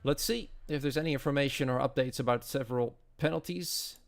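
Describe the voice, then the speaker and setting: A man's voice: medium pitch, fairly quick, creaky. A man with a Dutch accent, speaking English as a non-native, giving live commentary as an esports racing commentator. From Netherlands.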